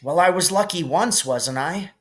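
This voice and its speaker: A man's voice: fairly high pitch, expressive, moderate pace, a bit bright, voice pushed up. A modest, brave schoolboy wizard from a children's storybook, as a man reads him aloud. He speaks plainly and earnestly, with an urgent, worried edge. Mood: modest, uncertain.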